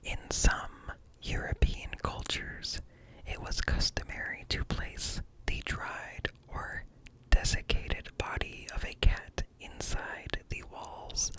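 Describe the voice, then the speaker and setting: A man reading aloud in a hushed ASMR whisper, close to the microphone, pausing between short phrases. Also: poor audio. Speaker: ASMR creator reading encyclopedia articles aloud. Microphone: close-up microphone with ear-to-ear stereo.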